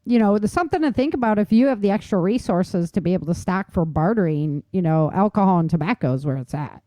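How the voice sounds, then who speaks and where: Female podcast host, medium pitch, informal and emphatic, easy laugher, very dry sound. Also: lively intonation, quick pace, mellow, dry recording. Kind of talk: casual survival-tips discussion.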